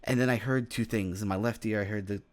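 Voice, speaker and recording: A man playing a confident young demon mage in an improvised Minecraft fantasy roleplay, speaking in a halting, conversational way and often checking for agreement. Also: fairly low pitch, steady tone, lightly acted. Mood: brooding. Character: confident young male demon mage, casual, secretive, determined. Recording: voice over background music.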